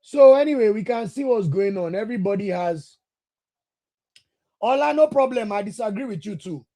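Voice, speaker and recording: A man's voice: high-pitched, animated, normal speed, mellow. A young man with a Nigerian English accent hosts a football talk show, reads viewer comments aloud and rants passionately, sometimes slipping into pidgin. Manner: passionate, ranting, switches into pidgin. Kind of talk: casual commentary reacting to live comments.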